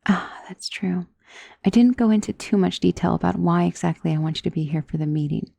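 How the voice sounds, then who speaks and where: A woman playing a centuries-old, wealthy vampire in an ASMR roleplay, doting and possessive, speaking in a soft, intimate, genteel and commanding manner. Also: lowish voice, gravelly, no echo. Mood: calm, assured. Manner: soft, intimate, genteel, unhurried endearments, often dropping into whisper. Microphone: close microphone in a dry space.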